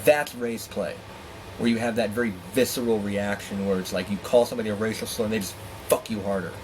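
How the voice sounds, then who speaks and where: A man with a slightly low voice tells a personal story in a frank, foul-mouthed style. He acts out dialogue in an animated, exasperated manner. There is faint room noise behind him. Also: fairly quick. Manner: animated acting-out of quoted dialogue, exasperated asides, heavy swearing. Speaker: storyteller and host. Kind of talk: candid, profane personal storytelling.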